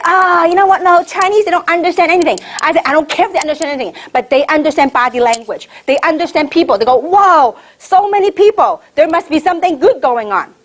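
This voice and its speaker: Energetic woman coaching a crowd. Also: high-pitched. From Hong Kong. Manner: energetic, rhetorical questions to the audience. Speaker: network marketing leader giving training. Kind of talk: motivational training talk. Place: meeting room with an audience.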